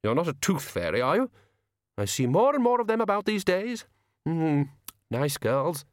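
A man's voice: fairly high pitch, sing-song, normal speed, no room sound, voice pushed up. Male reader playing a prim, icy frost spirit, raised and thin, dry close sound. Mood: aloof. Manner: cool, precise, faintly wounded.

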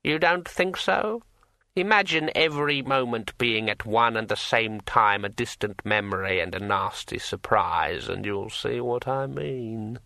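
A man voicing a dead old man in a storybook, brittle and aged, rambling conversationally in halting, drawn-out phrases. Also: medium pitch, vocal fry, sharp tone, no room sound. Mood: musing, conversational. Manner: slow, halting, drawn-out delivery.

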